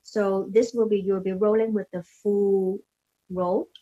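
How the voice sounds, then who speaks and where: A woman's voice: mid-range voice, little pitch movement, unhurried, dark tone, highs cut off. A woman from Hong Kong, a facialist and brand founder, casually explaining and demonstrating products in a hesitant way, repeating herself as she looks for phrasing. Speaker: beauty brand founder and facialist giving a demo.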